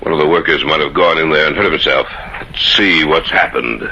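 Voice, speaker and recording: An actor playing a domineering, scoffing expedition leader in an old-time radio horror drama, with an emphatic, commanding delivery. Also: male voice, rumbling low, moderate pace. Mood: commanding, alarmed. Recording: dull, lightly noisy old radio recording.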